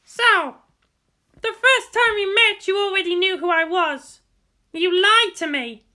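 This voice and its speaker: A woman's voice: very high-pitched, measured pace, pushed and bright, voice pushed up, full character voice. A woman voicing an indignant teenage girl pilot in a dramatic story reading, blunt and accusatory. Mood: accusing. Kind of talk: dramatic fan fiction character reading.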